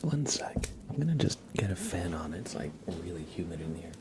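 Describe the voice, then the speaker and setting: A man hosting an ASMR livestream in a hushed, unhurried, rambling way, speaking softly and casually close to the microphone. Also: sits low, sing-song, very noisy. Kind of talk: live ASMR, soft whispering and quiet casual chat.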